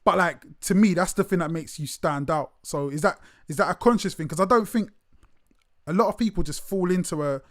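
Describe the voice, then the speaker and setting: A man, interviewing a guest in a relaxed, animated way and repeating words as he talks. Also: high voice, fairly quick, no room sound, clean studio sound. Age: late 30s. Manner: animated, repeats words, lots of slang fillers. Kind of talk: casual interview chat.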